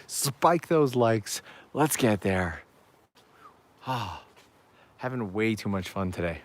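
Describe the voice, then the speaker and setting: Man, hoarse from a long day, casual live-stream chat, walking outdoors, upbeat. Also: fairly low pitch, animated, slow pace. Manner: chatty, upbeat, addresses viewers directly. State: losing his voice. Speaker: travel vlogger hosting a live stream. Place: outdoors on town backstreets at evening.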